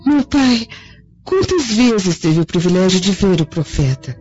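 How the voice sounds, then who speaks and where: An actress playing the devout grown daughter of a Roman senator in a radio drama, speaking earnestly and formally. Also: female voice, medium pitch, very animated, measured pace, darkish tone. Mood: questioning. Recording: dull lo-fi radio drama recording, music.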